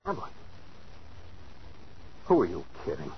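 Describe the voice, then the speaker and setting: An actor playing a bitter, suspicious, wounded husband in a 1940s radio thriller, hard-bitten and insistent. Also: male voice, low-pitched, huge pitch swings, a little slow, full character voice. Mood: suspicious, demanding. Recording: muffled 1940s radio recording, faint noise.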